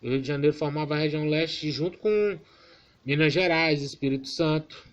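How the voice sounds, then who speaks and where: A man's voice: on the high side, fairly quick, lo-fi sound. A man from Maranhão, giving an informal online geography class and correcting himself as he goes. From Maranhão, Brazil. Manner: informal, addresses class as "galera", self-correcting. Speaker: student teacher.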